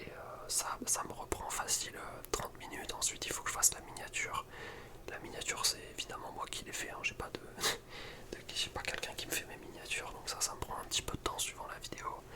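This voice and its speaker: A young man whispers softly and breathily in an informal, rambling ASMR chat. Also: brisk. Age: young adult. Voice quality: soft, breathy unvoiced whisper. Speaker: video creator. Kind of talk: whispered ASMR chat about personal routine.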